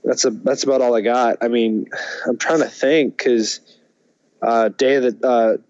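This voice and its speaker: A man's voice: medium pitch, steady tone, normal speed, darkish tone, dry recording, dull audio. A man co-hosting a podcast in casual chat, often hesitant and thinking aloud. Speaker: podcast co-host.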